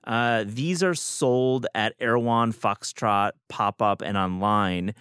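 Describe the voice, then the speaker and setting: A man with a fairly deep voice hosts a relaxed food chat. He talks in loose slang and is openly enthusiastic. The sound is very dry, with no room on it. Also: slow pace, clear, resonant tone, no echo. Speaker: podcast host.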